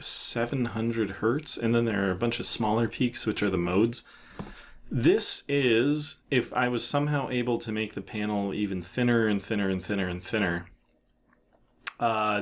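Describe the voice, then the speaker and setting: A man presenting a software tutorial in a casual, unscripted way, thinking aloud as he explains what he is doing. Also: on the low side. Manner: informal, thinking aloud with trailing phrases.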